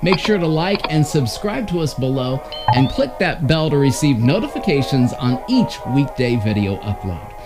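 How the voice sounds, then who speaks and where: Lively male presenter over music. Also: medium pitch, moderate pace. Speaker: presenter of an old radio showcase. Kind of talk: scripted narration.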